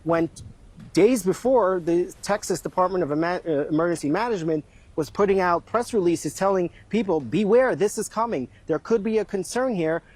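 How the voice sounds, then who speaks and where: A man's voice: high voice, animated, moderate pace, a little mellow, no room sound. A man reporting live as a news correspondent, speaking off the cuff and repeating phrases for emphasis.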